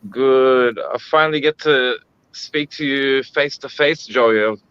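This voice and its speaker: A man's voice: mid-range voice, slow pace, smooth, dry recording, lo-fi sound. An Australian man chatting casually as a guest on a video call hangout. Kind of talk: casual chat.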